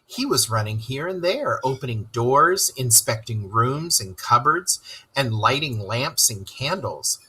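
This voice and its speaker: Bright, animated storyteller with husky edge. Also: mid-range voice, unhurried, poor audio. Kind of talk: scripted narration, children's story read-aloud.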